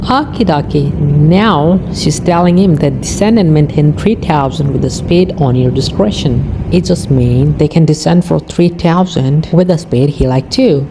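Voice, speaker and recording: A woman's voice: deep voice, measured pace, few pauses. A woman narrating and explaining air traffic radio recordings in plain, informal English with non-native phrasing. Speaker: aviation video narrator.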